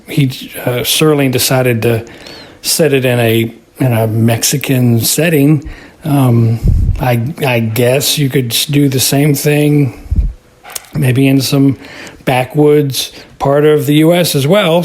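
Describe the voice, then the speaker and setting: American man, mid-pitched voice, listener commentary, casual, halting, thoughtful. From Texas, United States. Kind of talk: casual spoken episode review.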